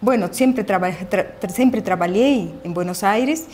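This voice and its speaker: A woman's voice: medium pitch, a little hiss or hum. Earnest middle-aged Argentine woman testifying. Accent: Argentine Spanish. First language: Spanish. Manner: earnest, halting, with repeated words and restarts. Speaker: church member giving testimony. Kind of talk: personal faith testimony. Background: soft background music.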